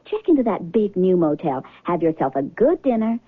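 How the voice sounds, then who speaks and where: An actress playing an unflappable, teasing airline counter clerk in a 1970s radio drama, breezy and matter-of-fact. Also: female voice, on the low side, very animated, moderate pace, husky, dry recording. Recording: muffled, phone-like old radio broadcast.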